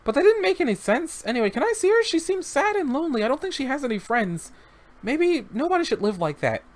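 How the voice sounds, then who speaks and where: A man's voice: extremely high pitch, very animated, fast, pitched above the performer's own voice. A man voicing an earnest young boy who talks eagerly and rambles a bit about whales and a new friend. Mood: pleading.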